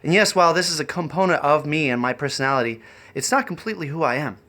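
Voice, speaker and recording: A man chatting off the cuff on a livestream, rambling and halting in an eccentric way. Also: a little high, lively intonation, bright, forceful tone. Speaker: live streamer and variety performer. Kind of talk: improvised livestream chat.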